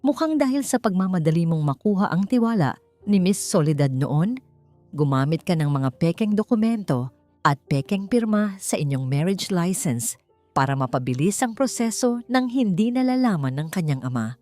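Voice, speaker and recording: A woman's voice: fairly low pitch, animated, moderate pace, darkish tone, faint music behind, lightly acted. A woman voicing a cold, formal and precise lawyer in an audio drama. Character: cold, formal lawyer delivering a crushing verdict. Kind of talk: Tagalog audio drama acting.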